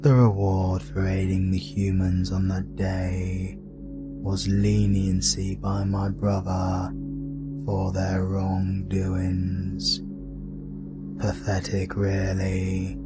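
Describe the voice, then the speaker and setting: A man playing a haughty, cold demon king with a deliberate, disdainful delivery in a fantasy audio drama with music. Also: low-pitched, monotone. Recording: music behind the voice.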